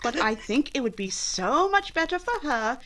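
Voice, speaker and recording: A woman playing a proud, sensible young Regency daughter in a 1940s-style radio drama, measured and earnest. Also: high voice, big pitch swings, pushed and bright, exaggerated voice. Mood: patient pleading. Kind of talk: recreated 1940s radio play acting.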